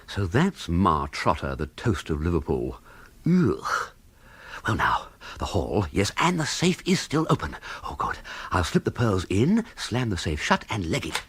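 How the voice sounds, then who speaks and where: A young upper-class English gent in a radio comedy. He is hapless and flustered, with a bright voice that swoops wildly up and down. His talk is breezy and full of exclamations. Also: deep voice, huge pitch swings, a little slow, slightly rough, exaggerated voice. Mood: muttering to himself. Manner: breezy, exclamatory period slang. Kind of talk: radio comedy drama acting.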